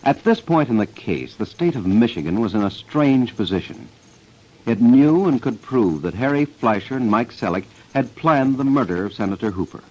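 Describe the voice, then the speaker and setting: A man giving deliberate, emphatic scripted commentary for an old-time radio crime documentary. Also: fairly low pitch. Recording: dull vintage radio recording.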